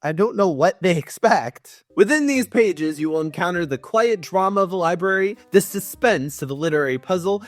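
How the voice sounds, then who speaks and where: A man with a somewhat high voice performs a theatrical reading as a comedy host. He shifts between mock-solemn narration and dry, sarcastic commentary. Music plays softly behind his voice. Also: dry recording. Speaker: host, writing coach. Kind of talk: comedic dramatic book reading with dry asides.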